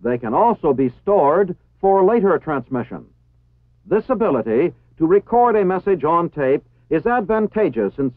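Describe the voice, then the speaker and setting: A man with an American accent reading formal, scripted instructional narration in a measured, precisely enunciated way. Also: fairly high pitch, no room sound. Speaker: training film narrator. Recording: tinny phone-like 1960s film soundtrack.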